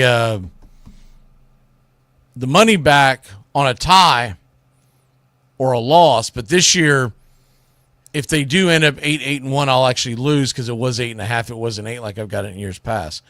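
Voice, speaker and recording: A man's voice: medium pitch, fairly bright tone, no room sound, studio quality. A man hosting a sports talk show, giving casual, opinionated commentary in colloquial language, speaking straight to his listeners.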